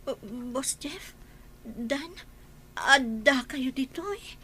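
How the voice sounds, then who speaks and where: An actress with an Ilocano accent playing a meek, anxious household nanny in a radio drama. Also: female voice, high-pitched, slow, bright, forceful tone, heavily acted. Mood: timid, weak.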